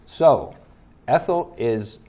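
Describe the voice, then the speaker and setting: A man's voice: mid-range voice, very animated, drawn-out words, mellow. A man hosting a local talk show, easygoing and encouraging with short replies. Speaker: host.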